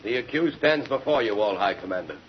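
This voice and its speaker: A man acts a menacing henchman of a murderous secret order. He is low-voiced, coldly formal and ominous, as in old radio drama, with muffled sound and faint noise. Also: lively intonation, fairly quick, natural voice. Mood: solemn menace. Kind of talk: 1940s radio drama acting.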